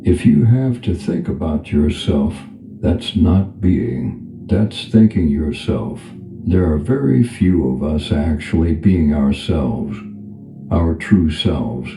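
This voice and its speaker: A man leading a guided meditation as a calm spiritual teacher, speaking in a gentle, unhurried, instructional manner. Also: rumbling low, vocal fry. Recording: echoey reverb over background music. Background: music.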